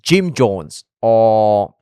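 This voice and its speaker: A man's voice: lowish voice, sing-song, extremely slow, warm tone, clear, resonant tone, dry recording, studio quality. A young man from Malaysia with a Malaysian English accent, reacting to a video on stream in a rambling, casual way with frequent swearing and restarts.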